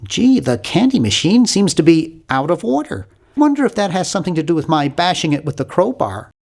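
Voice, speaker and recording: Casting: nerdy young game hero, nasal, very animated intonation, timid, polite, hesitant, earnestly bookish. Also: male voice, a little high, fairly quick, creaky, exaggerated voice. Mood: hesitant, observant. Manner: awkward, hesitant, earnest and fussy. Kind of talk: video game character voice lines.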